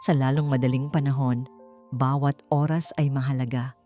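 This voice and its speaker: A woman's voice: rumbling low, fairly even intonation, normal speed, warm tone, soft background music, voice pushed down. A woman voicing a worried old village doctor, serious and urgent in a narrated drama. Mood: grave, urgent.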